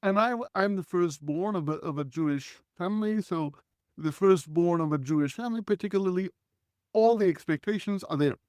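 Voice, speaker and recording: High-voiced, smooth man in his forties. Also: a little fast, darkish tone, no room sound, clean studio sound. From Israel. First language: Hebrew. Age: 40s. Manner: earnest, reflective, clears throat often. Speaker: guest. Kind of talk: conversational life storytelling.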